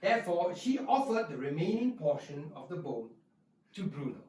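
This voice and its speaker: A man telling a dramatised story for children, expressive and speaking directly to his listeners. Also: on the high side, normal speed. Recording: roomy, distant mic with faint music.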